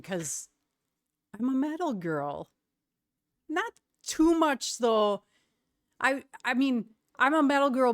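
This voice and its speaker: A woman's voice: mid-range voice, no echo, clean studio sound. A young woman from Wisconsin with a slight Wisconsin accent, chatting casually with her stream's chat and laughing often.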